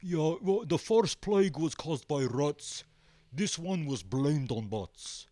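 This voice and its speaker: A man answering casually as a musician being interviewed, hesitant and pausing often. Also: fairly high pitch, animated, dark tone, no room sound. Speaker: band member, interview guest.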